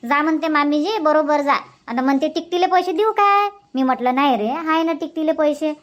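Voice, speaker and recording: Woman voicing very high-pitched chatty village woman. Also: fairly quick, lightly acted. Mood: animated gossip. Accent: Vidarbha Marathi. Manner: chatty, colloquial storytelling with repeated phrases. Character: chatty, sociable village women of a family cartoon. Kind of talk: cartoon comedy dubbing in rural dialect.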